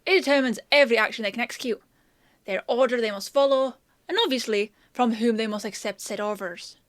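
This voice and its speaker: Casting: a woman acting a fantasy apothecary mentor, highish voice, confident and talkative, fondly teasing, close dry sound for audio roleplay. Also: fairly quick, no echo, natural voice. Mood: explanatory. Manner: chatty, teasing, explanatory, addresses listener fondly. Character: chatty, confident, teasing young-hearted country apothecary woman, protective mentor. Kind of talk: one-sided roleplay acting addressed to the listener.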